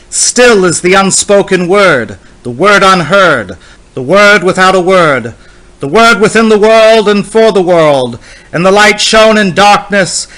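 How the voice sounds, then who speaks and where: A man reads a long poem aloud in a dramatic style, fairly high in pitch for a man. His delivery is slow, solemn and incantatory, leaning on the repeated phrases. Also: a bit bright, rough recording. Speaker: poetry reader. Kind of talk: dramatic poetry reading.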